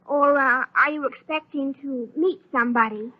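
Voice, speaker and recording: A woman's voice: sits high, normal speed, natural voice. An actress playing an eager, adoring teenage girl in an old radio soap opera, gushing and pleading. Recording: muffled old radio recording.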